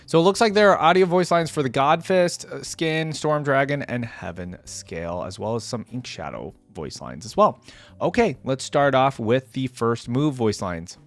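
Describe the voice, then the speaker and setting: A man's voice: mid-range voice, lively intonation, moderate pace. A man hosting a casual game reaction video, talking in an informal, slangy, effusive way. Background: music.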